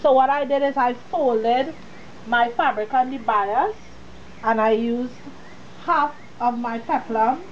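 A woman's voice: on the high side, muffled recording, a little hiss or hum. Chatty woman with a cold teaching sewing. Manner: chatty, talks straight to the viewer. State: has a cold, sniffling. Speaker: sewing tutorial host. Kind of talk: casual step-by-step sewing tutorial.

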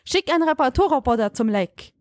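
Eine Frau spielt in einem Hörspiel eine resolute, leicht reizbare Raumschiff-Kommandantin mit knappen Ansagen. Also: female voice, sits high, animated, fast talker, darkish tone, no echo, clean studio sound. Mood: urgent, commanding. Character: irritable, resolute female spaceship commander in trouble. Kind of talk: scripted German audio drama acting.

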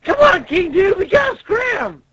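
A man voicing a cowardly young man in a comic, shrill, frantic and whiny. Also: sing-song, unhurried, a bit bright, shouting, heavily acted. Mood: urgent. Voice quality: shrill, squawking. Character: panicky, cowardly young man shrieking in disbelief. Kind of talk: amateur comic read-aloud. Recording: dull lo-fi voice recording.

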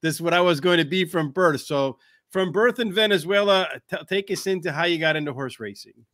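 A man's voice: a little high, fairly quick, a bit bright. Male host asking friendly questions. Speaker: show host. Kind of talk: interviewing a guest. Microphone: video call.

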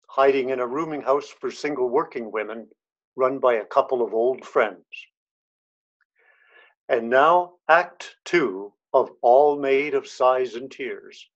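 A man narrating a scripted radio mystery with deliberate, dramatic pauses. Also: mid-range voice. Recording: dull lo-fi sound. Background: piano music.

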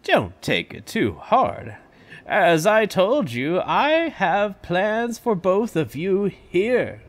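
Guy doing a jolly old park founder, high lively voice, slow and proud, music under it. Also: full character voice. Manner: boastful, chuckling. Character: elderly male park founder, proud and jovial. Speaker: voice actor. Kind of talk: fan audio drama acting.

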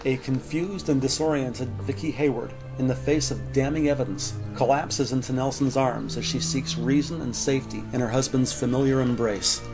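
A man narrating a crime audio drama from a script, measured and descriptive. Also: mid-range voice, fairly even intonation, low-quality recording. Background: music.

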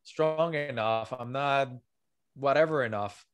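A man in his thirties speaking English as a second language, earnest and emphatic as he works through his points. His voice sits at a middle pitch and comes through dry and close, with no room sound around it. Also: slow pace, clean tone, dry recording. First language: not English. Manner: earnest, emphatic, gives examples. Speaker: author guest. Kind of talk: podcast interview. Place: home room with bookshelves. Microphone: video call, headset.

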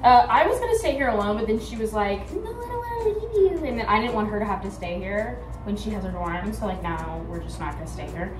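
A woman's voice: mid-range voice, fast, few pauses, music in the background. Sarcastic eighteen-year-old girl. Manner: sarcastic, deadpan, swearing. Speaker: vlogger. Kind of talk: casual vlog chat. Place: car, then small hotel room. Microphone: phone held at arm's length.

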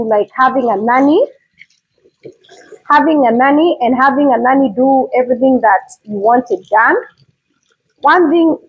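A woman speaks in a husky, fairly high voice. She talks earnestly and with encouragement, repeating her points, while she washes and chops vegetables in a home kitchen. Her voice is picked up by a lapel mic. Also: rough recording. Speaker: vlogger. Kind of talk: casual motivational vlog.

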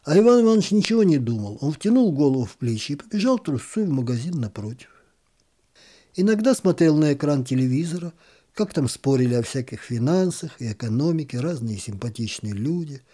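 A man reading a literary story aloud in an expressive, gently ironic storytelling manner. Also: a little high, brisk, husky.

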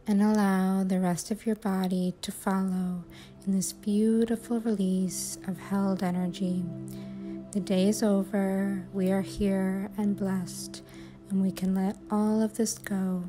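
Female meditation guide: very slow, even delivery, soothing, measured phrasing, music underneath. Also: medium pitch. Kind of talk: guided sleep hypnosis, affirmations.

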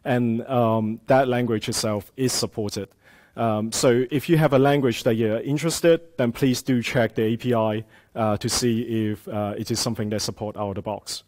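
A man's voice: medium pitch, fairly even intonation, moderate pace, darkish tone. A male conference speaker, a native Cantonese speaker from Hong Kong, talks to a room full of developers. His delivery is loose and conversational, dotted with "um" and "uh" as he explains his slides. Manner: conversational, many "um" and "uh" fillers. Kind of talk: live tech talk.

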